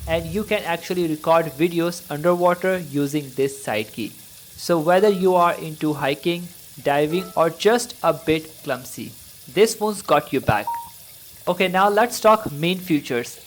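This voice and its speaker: A tech reviewer with a medium-pitched voice narrates a product review. The delivery is informal and enthusiastic, moving through features point by point. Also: a little slow, a little hiss or hum.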